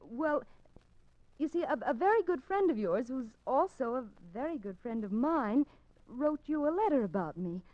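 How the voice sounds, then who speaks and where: A woman's voice: high voice, very animated, normal speed, no room sound. An actress playing an anxious, determined woman undercover in a 1950s radio suspense drama, with coy, hesitant delivery. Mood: coy, flustered. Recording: dull 1950s radio recording.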